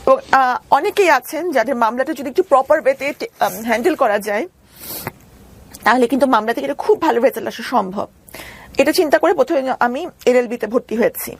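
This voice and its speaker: A woman's voice: high voice, vocal fry, breathy edge. A Bangladeshi woman telling her own story as an interview guest, earnest and explanatory.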